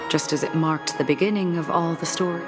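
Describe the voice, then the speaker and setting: Casting: actress for calm, solemn swordswoman who guides the dead, deep female register, formal game dialogue over clear music. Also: close to an everyday voice. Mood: solemn, encouraging. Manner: measured, formal, grave. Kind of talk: dramatic video game dialogue.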